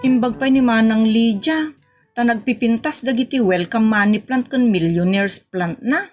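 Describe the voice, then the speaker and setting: A woman's voice: a little high, normal speed, darkish tone, soft background music, close to an everyday voice. A woman with an Ilocano Filipino accent acting a nagging, exasperated rural wife and mother in a comedy audio drama, complaining in exclamations. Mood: envious.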